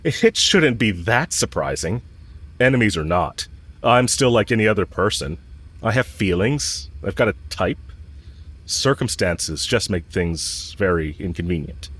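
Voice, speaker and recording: A man's voice: on the low side, animated, measured pace, a bit bright, husky, full character voice. A man playing a cocky, smitten villain who talks casually, frankly and teasingly to the listener in a romantic audio roleplay. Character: smitten male villain, cocky, teasing, bluntly confessing love.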